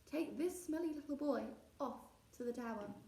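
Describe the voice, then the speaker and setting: A woman's voice: high-pitched, expressive, normal speed, creaky, dark tone. A woman reading a children's storybook, voicing a haughty, rude queen in mocking pretence. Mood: haughty, disgusted. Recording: roomy echo, distant mic.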